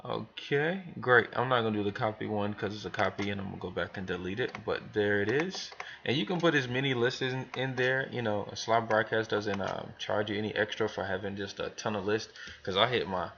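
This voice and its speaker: Male trainer, lowish voice, informal and rambling step-by-step walkthrough, muffled lo-fi sound. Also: a little fast, vocal fry, few pauses, a bit bright. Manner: informal, rambling, thinking aloud. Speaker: business trainer demonstrating software to new hires. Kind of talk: casual screen tutorial, talking through steps.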